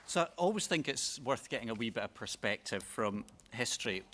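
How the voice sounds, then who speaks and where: A man's voice: mid-range voice, lively intonation, a little fast, a bit bright, some chatter behind, slight background noise. A man speaking reflectively on a conference panel, building to emphatic points. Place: large conference hall. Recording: reverberant conference hall.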